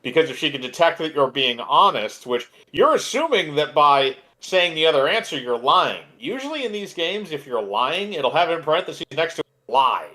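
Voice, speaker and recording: A man's voice: on the high side, very animated, moderate pace, hardly any pauses, sharp tone. A man co-commentating on a game in casual chat, arguing and analysing the choices. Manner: argumentative, analytical.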